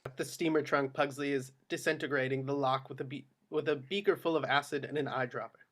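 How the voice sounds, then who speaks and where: A man's voice: mid-range voice, steady tone, brisk, fairly bright tone. A man narrating the action of a film script at a table read. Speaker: stage-direction reader.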